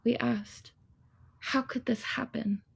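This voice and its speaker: A woman performing a wistful childhood memory as a theatrical poetic monologue, in a measured, husky, creaky voice. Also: a little high, steady tone, heavily acted.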